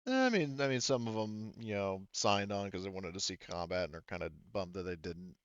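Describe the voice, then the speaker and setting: A man's voice: sits low, fairly quick, clear, resonant tone, no echo. A man loosely narrating a tabletop roleplaying game in a hesitant, conversational way, on slightly muffled audio.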